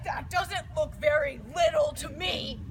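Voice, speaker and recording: A woman playing a boastful, dim-witted male Irish giant in loud comic storytelling theatre. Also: high-pitched, steady tone, a little slow, slight background noise. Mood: dim, grudging. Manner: blustering, boastful.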